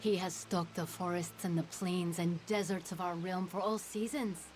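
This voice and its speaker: A woman voicing a solemn, mournful fey herald in a fantasy game, speaking in a grave, measured, formal way. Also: on the low side, steady tone, a little mellow, slight background noise, lightly acted. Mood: grave, warning.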